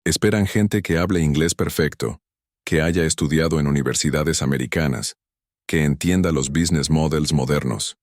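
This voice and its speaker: A man doing a grown son who runs the company, pleading and hesitant in a storybook drama, his voice sitting extremely low. The sound is close and dry, with no room around it. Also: normal speed, dry recording. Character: grown son, defensive young company director.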